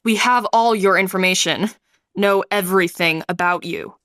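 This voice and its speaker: A woman's voice: mid-range voice, a bit bright, dry recording, clean studio sound, natural voice. A woman voicing a cold, manipulative male orphanage director in a radio play. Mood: menacing. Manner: slow, threatening, bargaining.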